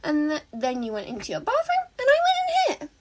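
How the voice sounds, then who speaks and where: Woman doing a high, bubbly villain girl. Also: fairly quick, a little hiss or hum. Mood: breezy, excited. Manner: girlish, excitable.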